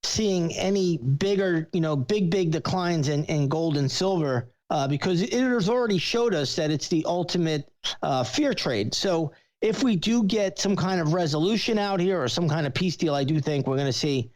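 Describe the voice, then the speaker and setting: A man's voice: a little high, expressive, normal speed, a little mellow. A man speaking as an analyst on a show, giving conversational, explanatory commentary. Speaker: market analyst, regular guest.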